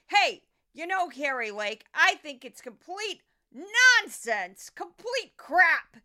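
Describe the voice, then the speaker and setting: A woman voicing a parody of a brash, boozy female TV judge who blusters loudly and declaims in a satirical radio play. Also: extremely high pitch, big pitch swings, takes it slowly, heavily acted. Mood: blustering.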